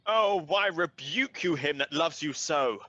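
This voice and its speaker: Forceful and lively, in dry but slightly dull sound, a man plays a young suitor in radio Shakespeare. He is lovesick and stung, pleading in rhetorical verse with a bitter edge and wide melodic movement. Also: on the high side, unhurried. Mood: wounded pleading.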